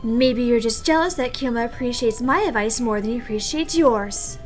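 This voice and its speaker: A woman voicing a prim, shy high-school girl poet in a visual novel, formal in speech and stammering when flustered. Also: moderate pace, faint music behind, close to an everyday voice. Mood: angry, haughty. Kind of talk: visual novel voice-over read aloud.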